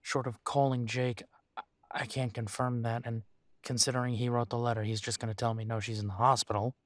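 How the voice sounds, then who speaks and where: A man playing a conflicted, weary werewolf talking to a mate in an intimate roleplay audio drama, halting and exasperated. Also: medium pitch, level tone, no echo, clean studio sound, close to an everyday voice. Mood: resigned, doubtful. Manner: exasperated, halting, sighing delivery. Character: weary, conflicted male werewolf arguing tenderly with his mate.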